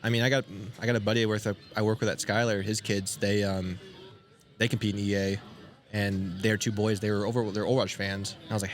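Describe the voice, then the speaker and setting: Fast-talking rambling low-voiced man. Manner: rambling, filler-heavy, enthusiastic. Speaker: guest. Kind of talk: casual interview.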